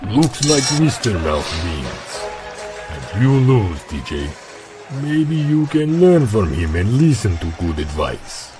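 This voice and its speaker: An actor playing a gruff, dry male fighter in an audio drama, deliberate and needling. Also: on the low side, very animated, music in the background. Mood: smug.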